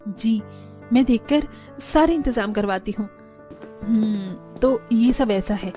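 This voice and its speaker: A woman's voice: a little high, takes it slowly, warm tone, faint music behind. A woman voicing a sensible, obedient young daughter-in-law in a cartoon, dutiful and practical. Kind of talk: Hindi cartoon voice acting.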